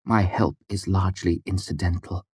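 A man voicing a blunt, sarcastic, proud small woman with a curt, sharp manner, as in an audiobook. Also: low voice, fairly even intonation, moderate pace, husky, no echo, clean studio sound. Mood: cold fury. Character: sardonic, blunt, foul-mouthed small woman with fierce pride. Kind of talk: audiobook character dialogue.

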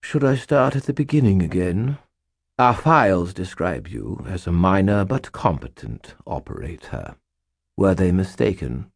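A man's voice: low-pitched, big pitch swings, measured pace, gravelly, no echo, clean studio sound, heavily acted. A man voicing a pompous character who fakes an obnoxious posh British accent. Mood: condescending. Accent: British (put on). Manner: haughty, obnoxious.

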